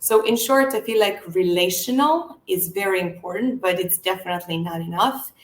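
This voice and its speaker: A woman from Serbia giving a conference talk, speaking in a measured, earnest way with frequent "uh" and "um" pauses. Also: medium pitch, reverberant, poor audio. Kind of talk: presenting a business talk.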